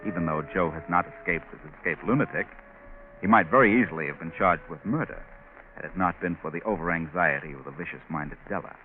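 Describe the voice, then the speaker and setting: An American actor playing a sinister, mocking, all-knowing narrator in a 1940s radio mystery, ominous and moralizing. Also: male voice, deep voice, fast, natural voice. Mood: knowing, scornful. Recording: scratchy narrow-band 1940s radio, music behind.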